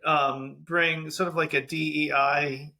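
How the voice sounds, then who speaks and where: Male podcast host in his 50s, bright and highish voice, teasing and playful. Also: level tone, takes it slowly, vocal fry. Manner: playful and teasing, laughs between phrases. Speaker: podcast host and yoga teacher. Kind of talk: conversational interview hosting.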